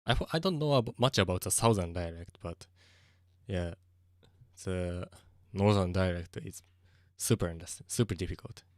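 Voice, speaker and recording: Low-voiced man with a Japanese accent. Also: dry recording, clean studio sound. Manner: halting and searching for words, with frequent soft laughs. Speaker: streamer. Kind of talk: casual livestream chat.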